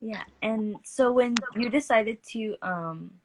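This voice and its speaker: A woman's voice: fairly high pitch, slow, a little mellow, poor audio. A woman hosting a casual livestream artist talk, chatty and upbeat, greeting viewers by name.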